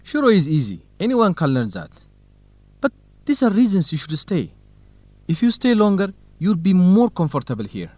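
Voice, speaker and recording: Earnest young man, lively intonation. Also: sits high, moderate pace, no echo, exaggerated voice. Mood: encouraging. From Ethiopia. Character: young Ethiopian farm son, dutiful, determined, quietly lovestruck. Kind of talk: English-learning radio drama acting.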